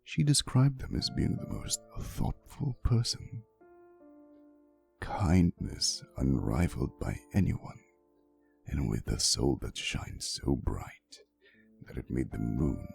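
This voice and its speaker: Man playing a slow, low-voiced, tender vampire boyfriend. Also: wildly swooping pitch, creaky, soft background music, heavily acted. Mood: wistful, romantic. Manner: soothing, unhurried, gently teasing, with dramatic pauses. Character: ancient vampire boyfriend, tender, wistful, teasingly fond of humans. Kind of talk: ASMR roleplay, intimate storytelling to the listener.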